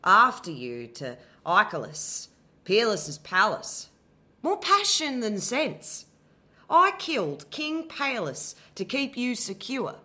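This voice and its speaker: A woman in her thirties playing a betrayed, bitter queen in a dramatic monologue, sarcastic and swinging from low muttering to loud outbursts. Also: wildly swooping pitch, slow pace, exaggerated voice. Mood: anguished. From Australia. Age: 30s. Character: betrayed furious queen, bitter abandoned wife. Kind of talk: dramatic monologue, audition piece.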